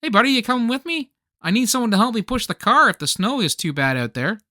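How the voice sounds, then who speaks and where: A man performs an earnest, fussy collector in a comedic radio play. His voice is bright and forward, recorded close and dry, and he pushes his points dramatically with small stammers. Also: very high-pitched, expressive, fast, no echo, full character voice. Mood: determined. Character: earnest, fussy man obsessed with old holiday tapes.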